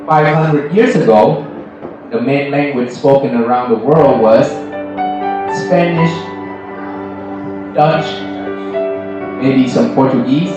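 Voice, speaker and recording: A man talks casually to a student audience, pausing to ask "right?" as he goes. His voice sounds distant in a very echoey hall, with music playing behind. His pitch sits in a middle range. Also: dull audio. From Vietnam, raised in America. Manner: conversational, often tags 'right?' to check with listeners. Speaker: guest speaker at a school English club. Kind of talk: informal talk to students. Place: school hall.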